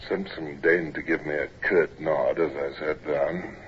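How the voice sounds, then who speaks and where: An actor playing a naval officer who narrates his miserable youth in a measured, reflective way, in a 1950s radio drama. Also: very deep voice, steady tone. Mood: grave, reflective. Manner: measured, reflective, grave storytelling. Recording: muffled, noisy vintage radio recording.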